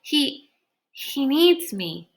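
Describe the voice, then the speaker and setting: An anguished young boy from a storybook, voiced by a woman, thinking aloud. He is hesitant and pleading, with slow, halting words. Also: high-pitched, very animated, voice pushed up, exaggerated voice. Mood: hesitant protest.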